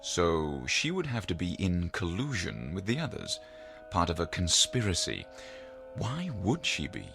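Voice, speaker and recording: An actor playing a learned, probing psychiatrist in an audio drama, speaking in a deliberate, formal, professorial way. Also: male voice, low voice, huge pitch swings, vocal fry, over music, heavily acted. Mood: probing, reasoning.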